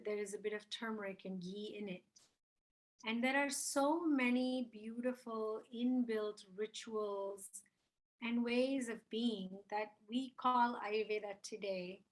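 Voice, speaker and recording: A woman's voice: mid-range voice, fairly bright tone, poor audio. A woman in her fifties from India, a spiritual mentor, talking as an interview guest in a reflective, earnest way. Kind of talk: interview conversation.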